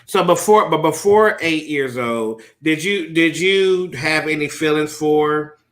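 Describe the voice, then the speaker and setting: Interview host, man, late thirties, high bright voice, animated and chatty. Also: measured pace. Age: 30s. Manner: animated, laughs often, lots of fillers. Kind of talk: casual interview.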